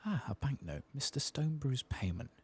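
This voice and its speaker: Hushed, formal fantasy merchant, voiced by a man. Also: mid-range voice, animated, moderate pace, voice pushed up, natural voice. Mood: brisk, gracious. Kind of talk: soft-spoken read-aloud of game dialogue.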